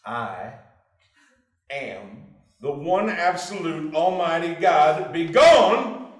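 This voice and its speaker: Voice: mid-range voice, very animated, very slow. An actor playing a petulant, childish self-proclaimed god in a theatrical dramatic reading, declaiming and whining. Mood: pompous, scornful. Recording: echoey room.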